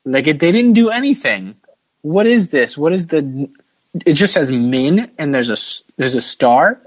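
A man's voice: fairly high pitch, sing-song, unhurried. A man hosting a casual sports podcast, talking bluntly and informally and putting questions to the others. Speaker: podcast host.

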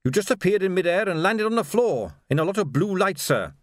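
A man as an earnest, high-pitched watch captain. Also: big pitch swings, fairly quick, no echo, studio quality. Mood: concerned, eager. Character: respectful, earnest male watch captain reporting to his superior.

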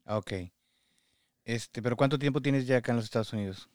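A man's voice: medium pitch, a little fast, no room sound, clean studio sound. A man hosting a podcast, introducing and interviewing. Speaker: podcast host.

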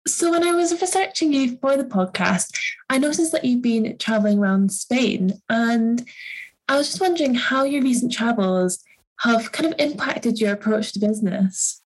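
Breathy woman hosting, polite and scripted. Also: on the high side, animated, normal speed, low-quality recording. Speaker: podcast host. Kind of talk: scripted podcast intro and interviewing.

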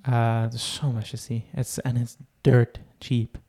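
A man's voice: mid-range voice, little pitch movement, unhurried, mellow. A young man from Israel, chatting casually as a podcast co-host, eager and fond of repeating words for emphasis. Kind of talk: casual chat.

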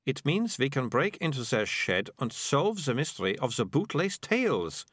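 A bossy, self-assured young girl in a storybook, voiced by a male narrator. The voice is raised high and leaps about with dramatic insistence, recorded dry and close. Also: sing-song, normal speed, fairly bright tone, slightly rough, dry recording.

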